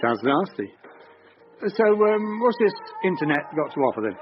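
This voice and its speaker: A man's voice: on the high side, very animated, moderate pace, soft background music. An actor playing a genial, elderly English father, ex-Navy, chatty and dryly joking in a naturalistic radio drama. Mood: playful, dry. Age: older. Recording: dull lo-fi radio broadcast, clear noise.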